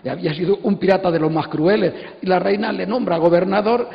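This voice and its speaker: An older man in his sixties with a Castilian accent holds forth on a stage. His voice is fairly high and full of gravel, and he talks emphatically, circling back on his phrases. The hall rings around him. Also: fast talker. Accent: Castilian Spanish. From Spain. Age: 60s. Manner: emphatic, digressive, repeats phrases. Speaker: panelist. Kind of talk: animated informal lecture to an audience. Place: stage of an auditorium. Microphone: handheld microphone. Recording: echoey hall PA, dull sound.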